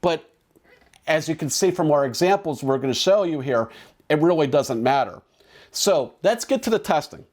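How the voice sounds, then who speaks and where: Higher-pitched man giving a product demo. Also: expressive, normal speed, darkish tone. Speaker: presenter for a drone equipment seller.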